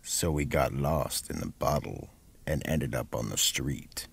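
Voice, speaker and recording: A man with a deep gravelly voice reading scripted narration for a radio mystery drama. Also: expressive, a little slow.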